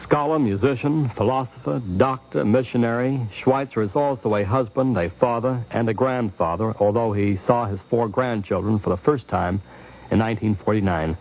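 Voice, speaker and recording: A man narrating a scripted 1950s radio documentary in deliberate, formal phrases. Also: mid-range voice. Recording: dull 1950s radio recording.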